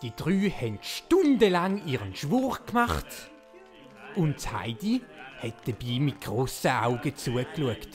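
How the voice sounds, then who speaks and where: Animated Swiss German woman narrator. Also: rumbling low, measured pace. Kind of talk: playful fairy-tale narration for children. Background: music.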